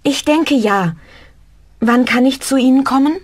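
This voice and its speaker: A woman's voice: sits high, measured pace, no echo. A woman voicing a young female student who is a native Japanese speaker, politely applying for a job in a scripted language-course dialogue. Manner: polite, careful and clearly articulated. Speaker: job applicant in a textbook dialogue.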